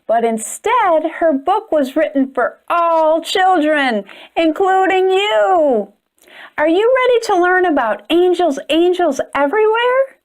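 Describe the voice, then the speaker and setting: High, lively woman reading a storybook. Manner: expressive, sing-song storytelling. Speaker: storytime host. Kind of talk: children's book read-aloud.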